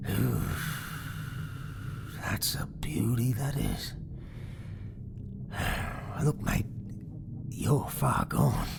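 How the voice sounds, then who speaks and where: A man's voice: medium pitch, steady tone, extremely slow, creaky, long pauses, voice pushed up. A man voicing a seedy, sly backroom surgeon with morbid admiration, hissing and hesitant, in a horror story. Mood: morbidly admiring. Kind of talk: horror audiobook character acting.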